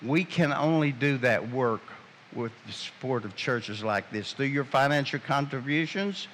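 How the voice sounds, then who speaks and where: A man giving a guest address in a church, earnest and measured in a preacherly way. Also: medium pitch, fairly bright tone, a little hiss or hum. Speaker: guest speaker. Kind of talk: sermon-like appeal. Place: church sanctuary.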